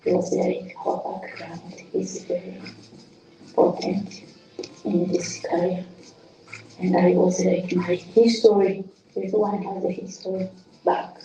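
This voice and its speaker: A woman giving a soft-spoken testimonial interview, searching for her words. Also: on the low side, level tone, takes it slowly, pauses often. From Rwanda. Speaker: program participant. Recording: roomy sound from a distant mic.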